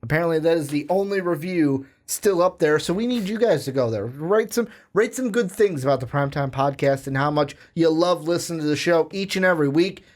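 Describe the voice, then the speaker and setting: Upbeat higher-voiced podcast host. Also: male voice, a little fast, hardly any pauses. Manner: energetic, plugs and runs the segment. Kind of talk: sports podcast chat. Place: podcast studio.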